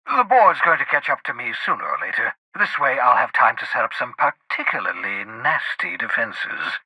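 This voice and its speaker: An actor voicing an eccentric, erudite older renegade scientist in a video game, jittery and sardonic, with theatrical, wordy, precise delivery. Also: male voice, medium pitch, very animated, moderate pace, bright, forceful tone, slightly rough, dry recording, heavily acted, poor audio. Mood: sardonic, scheming. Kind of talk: video game character dialogue.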